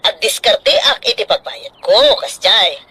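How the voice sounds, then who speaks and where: A woman's voice: medium pitch, very animated, measured pace, pushed and bright, husky, full character voice, low-quality recording. A woman with an Ilocano accent playing a scheming, domineering older in-law in a radio drama, wheedling and exclaiming. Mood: pushy, domineering. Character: pushy, scheming older sister-in-law pressing to buy land.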